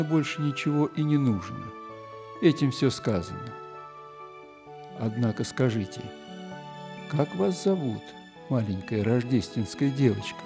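An actor playing a kindly old newspaper editor in a children's audio play, speaking with gentle, deliberate, old-fashioned courtesy. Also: male voice, medium pitch, gravelly, exaggerated voice. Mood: warm, courteous.

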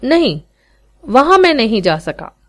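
Storybook role: proud young boy street performer, played by a woman. Fluent, confident, highish, slow delivery. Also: very animated. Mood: matter-of-fact. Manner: fluent, unhesitating, proud speech. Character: proud, confident, self-reliant teenage boy street magician.

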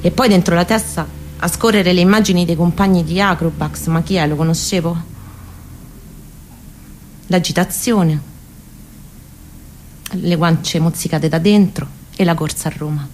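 A woman with a lowish voice and a Roman accent delivers an autobiographical monologue. Her phrasing is deliberate, expressive and intimate, with faint background noise around her. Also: rough recording. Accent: central Italian, Roman. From near Rome, Italy. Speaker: monologue performer. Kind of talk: dramatic autobiographical storytelling monologue.

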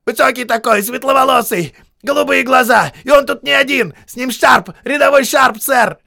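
A man voicing a foul-mouthed, groveling army sergeant who screams and pleads in a shrill, hoarse voice, in an audiobook. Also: moderate pace, sharp tone, exaggerated voice. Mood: insistent. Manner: screaming, pleading, spitting insults.

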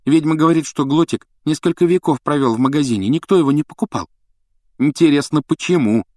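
A man voicing a brisk, slightly bossy thirteen-year-old girl in a storybook. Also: on the high side, darkish tone, no echo, clean studio sound, voice pushed up, lightly acted.

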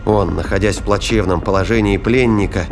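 A man's voice: on the low side, brisk, music in the background. A man narrating a science fiction audio drama from a script.

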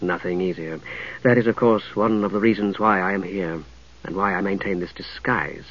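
A man's voice: low-pitched, steady tone, moderate pace, fairly bright tone, lo-fi sound, pitched below the performer's own voice. A man voicing a regal, knowing middle-aged female knight with cultured, composed diction in a fantasy audiobook. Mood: brisk, assured.

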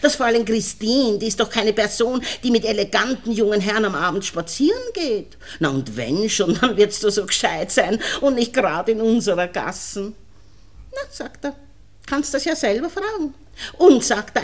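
Nosy viennese housewife neighbour, chatty, sugary but prying, very up and down voice, old radio drama. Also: female voice, a little high, animated, moderate pace. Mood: gossipy, reproachful. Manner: chatty, insinuating, colloquial dialect. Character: nosy, gossipy married neighbour woman, falsely friendly, prying. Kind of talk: 1960s German radio play acting.

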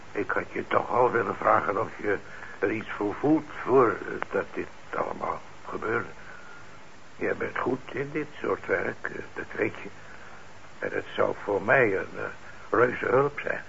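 Kindly older shopkeeper, heavily creaky, slowish, gruff, radio play, dull noisy recording. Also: male voice, fairly low pitch, lively intonation, fairly bright tone, heavily acted. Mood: gentle, coaxing. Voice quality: gravelly. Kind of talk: radio drama acting.

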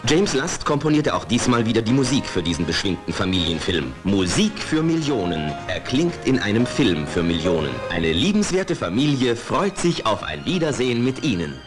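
Low, swooping 1960s male trailer announcer. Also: sing-song, normal speed, hardly any pauses. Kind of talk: 1960s German cinema trailer voice-over. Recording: old 1969 trailer soundtrack, music underneath.

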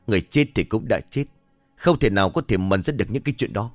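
A man's voice: on the low side, fast talker, dry recording, soft background music, lightly acted. A man voicing a menacing widower defending his dead wife, growling threats in a Southern Vietnamese accent. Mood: scornful. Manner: growled threats and sharp challenges.

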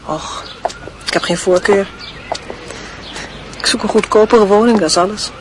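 An actress playing a calm, soft-spoken widowed mother in an old radio drama, with a noisy recording. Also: mid-range voice, moderate pace, natural voice. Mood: calm, reassuring. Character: widowed mother, gentle, quietly resigned.

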